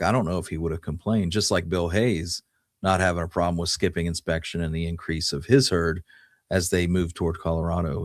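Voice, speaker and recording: A man's voice: low-pitched, dry recording, clean studio sound. A man hosting a talk show, telling history in a casual, conversational way and explaining it in detail. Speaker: podcast host.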